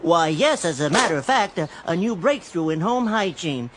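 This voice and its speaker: A man's voice: high-pitched, very animated, normal speed, a little hiss or hum. A stammering, timid, bumbling salesman. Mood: eager pitching. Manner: stammering, hesitant, flustered, overly polite. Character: timid, bumbling, polite male vacuum cleaner salesman. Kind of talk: radio drama acting. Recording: music behind the voice.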